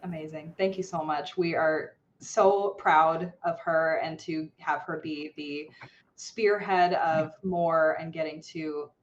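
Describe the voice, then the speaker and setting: A woman's voice: lowish voice, highs cut off, slight background noise. A woman hosting an interview podcast, upbeat and enthusiastic with her guests. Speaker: podcast host. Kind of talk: casual interview.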